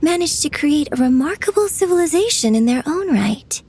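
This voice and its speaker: A woman's voice: high-pitched, expressive, moderate pace, a little mellow, slight background noise. A woman voicing a gracious, polite young female writer who speaks with poise in a game cutscene. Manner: courteous, poised, formal.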